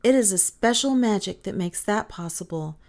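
A woman's voice: mid-range voice, lively intonation, normal speed, a little mellow, lightly acted. A woman voicing a careful, serious young boy, a protective older brother in a storybook. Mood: earnest. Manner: earnest, serious.

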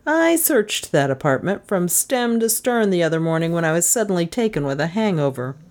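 A woman's voice: fairly low pitch, sing-song, brisk, darkish tone. Woman reading a wry, bossy male detective. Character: casual, wry male detective fond of liquor. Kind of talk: storybook character voice in crime fiction.

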